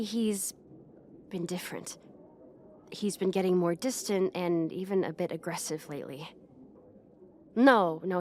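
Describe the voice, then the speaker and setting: Woman acting a bossy, flustered high-school mean girl. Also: a little hiss or hum, natural voice. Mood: embarrassed, confiding. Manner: exasperated, pushy, halting when embarrassed. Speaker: voice actress in audio roleplay. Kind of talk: acted ASMR roleplay monologue to the listener.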